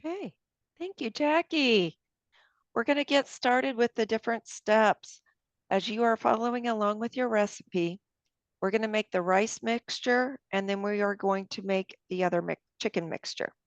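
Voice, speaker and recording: A woman leads a cooking demonstration and talks each step through as she works. Her voice has a gravelly, fry-tinged edge. The sound is close and dry, slightly dull, as if through a basic mic. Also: on the high side, moderate pace, dry recording. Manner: friendly, explains each step aloud while working. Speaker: cooking class instructor.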